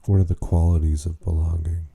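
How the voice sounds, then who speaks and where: A man leading a guided meditation, speaking in an unhurried, gently questioning way with long pauses. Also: low voice, little pitch movement, vocal fry, breathy edge. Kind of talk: slow spoken guided meditation.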